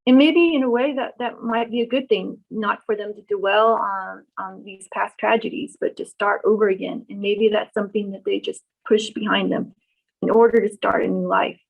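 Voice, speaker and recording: A middle-aged woman born in Vietnam, answering questions in an oral history interview, pausing often with ums and restarts. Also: mid-range voice, steady tone, gravelly. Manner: thoughtful, many ums and restarts. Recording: dull lo-fi recording.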